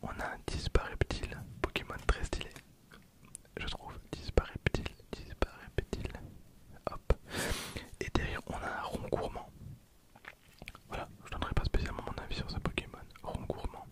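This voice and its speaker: A man in his twenties speaks entirely in a hushed whisper. He murmurs casual ASMR commentary in an informal, chatty way, keeping his voice soft and breath-led throughout. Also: moderate pace. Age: 20s. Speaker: ASMR video creator.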